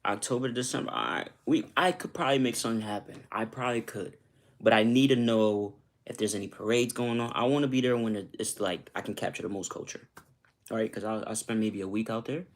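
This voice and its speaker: Casual YouTuber man, low and gravelly, rambling and chatty with his audience. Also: fast. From Costa Rica. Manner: loose, chatty, repeats "you know what I'm saying". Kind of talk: casual livestream chat with viewers.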